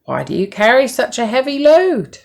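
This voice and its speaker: A woman's voice: on the high side, very animated, moderate pace, creaky, pitched above the performer's own voice. A woman voicing a polite, curious male miller in a storybook tale. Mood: courteous.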